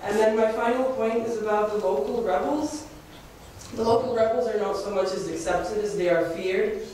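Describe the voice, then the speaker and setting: High-voiced man, echoey hall. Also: a little mellow. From Ukraine. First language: Russian. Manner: fluent, earnest, argumentative. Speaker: audience member commenting. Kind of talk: conference floor comment. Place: large lecture hall. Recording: echoey hall from far off, faint noise.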